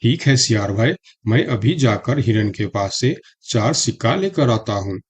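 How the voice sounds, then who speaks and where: A man's voice: medium pitch, animated, moderate pace, slightly rough. A man playing a naive, good-natured donkey in a children's animal story, talking in a simple, credulous way. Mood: trusting.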